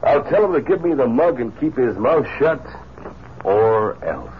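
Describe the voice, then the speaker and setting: An actor with an American accent playing a curt, bullying saloon-owner villain in a 1950s western radio drama. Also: male voice, medium pitch, lively intonation, unhurried, lightly acted. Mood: scheming. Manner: curt, threatening. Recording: tinny, hissy old radio recording.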